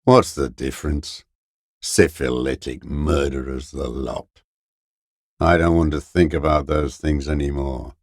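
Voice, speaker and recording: An actor playing a bitter, weary old communist deserter in a video game, gravelly and raspy, cursing with contempt in a slow mutter. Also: male voice, extremely low pitch, fairly even intonation, no echo, clean studio sound. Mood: contemptuous, weary. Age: older. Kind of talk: dramatic video game character acting.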